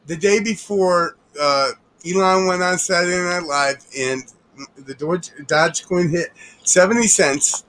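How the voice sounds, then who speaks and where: A man's voice: high voice, measured pace, a bit bright, slight background noise, low-quality recording. A man joining a livestream as a guest, self-deprecating and laughing at himself. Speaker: guest caller. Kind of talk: casual livestream chat.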